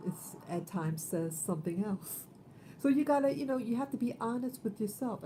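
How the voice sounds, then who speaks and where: Woman, 50s, livestream host, halting and rambling, low voice, mellow tone. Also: slight background noise, rough recording. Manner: rambling, halting, many fillers. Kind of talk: casual livestream talk.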